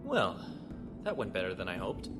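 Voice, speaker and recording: Plain-spoken wry space pilot officer, audio drama, music clearly under him. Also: male voice, low voice, huge pitch swings, normal speed. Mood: calm, wry. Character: male imperial officer, steady, wry shuttle pilot. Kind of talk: science fiction audio drama acting. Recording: music behind the voice.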